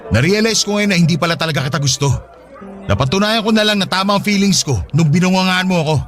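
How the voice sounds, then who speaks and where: A man's voice: high voice, quick pace, fairly bright tone, soft background music, some chatter behind. A man playing an irritable, evasive young boyfriend in a Tagalog radio drama, with a defensive, exasperated manner. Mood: resolute, confessing.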